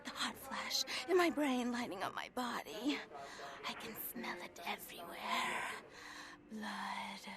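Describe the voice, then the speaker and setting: A woman's voice: medium pitch, lively intonation, slow pace, music in the background. A woman playing a frightened, guilt-stricken young vampire in a video game, with fraught, pleading delivery. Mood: craving, feverish. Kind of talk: dramatic video game voice acting.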